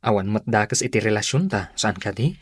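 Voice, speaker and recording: An actor playing a doting, eager young boyfriend, sweet and attentive, in an Ilocano radio drama. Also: male voice, on the low side, animated, fairly quick, husky, dry recording, studio quality. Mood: proud, playful.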